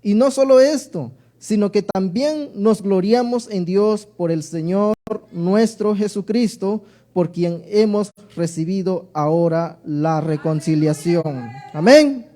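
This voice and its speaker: Slow, high-voiced Mexican preacher. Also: male voice, mellow. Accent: southern Mexican. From Oaxaca, Mexico. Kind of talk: sermon with Bible reading.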